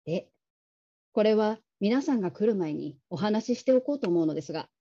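A woman playing a polite, caring call-centre manager in a radio drama, gentle and measured, on slightly dull audio. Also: mid-range voice, animated. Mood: earnest, measured.

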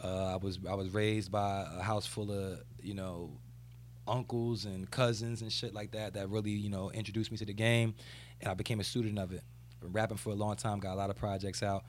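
A man's voice: on the low side, little pitch movement, brisk, slight background noise. A man hosting a casual podcast in a laid-back way, leaning on fillers as he talks. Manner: laid-back, repeats fillers like "you know what I'm saying". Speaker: podcast host, rapper. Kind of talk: casual chat.

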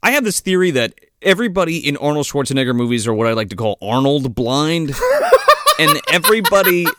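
A man's voice: on the high side, big pitch swings, fairly quick, a bit bright. A man co-hosting a casual movie commentary, joking and laughing through his points. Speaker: podcast co-host.

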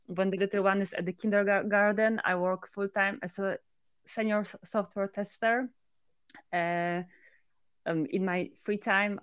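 A woman's voice: medium pitch, clear, resonant tone, dry recording. A woman from Poland, a working mother, answering interview questions in a hesitant way with many fillers. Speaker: interview guest, blogger.

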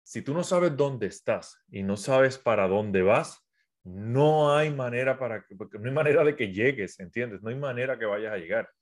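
A man's voice: mid-range voice, animated, moderate pace. Puerto Rican man coaching viewers live. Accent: Puerto Rican Spanish with a South American mix. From Puerto Rico. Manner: conversational, many fillers and restarts, addresses viewers directly. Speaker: entrepreneur and business coach. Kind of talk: casual motivational live stream. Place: at home, phone on a tripod.

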